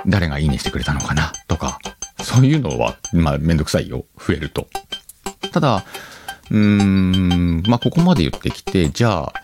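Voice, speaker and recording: A man hosting a casual solo podcast, thinking aloud as he talks directly to his listeners. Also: deep voice. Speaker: podcast host. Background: background music.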